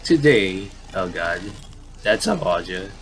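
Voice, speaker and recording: A man with a fairly low voice plays through a story game, reading the dialogue aloud and adding casual remarks. He often trips on a phrase and repeats it. There is some noise on the recording. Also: sing-song, takes it slowly, gravelly. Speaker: gaming commentator reading a visual novel aloud.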